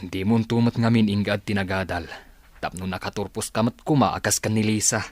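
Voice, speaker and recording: A man's voice: on the low side, fairly even intonation, brisk, no echo, natural voice. A man playing a patient, caring father in an audio drama, earnestly advising his child. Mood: concerned. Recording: faint music under the voice.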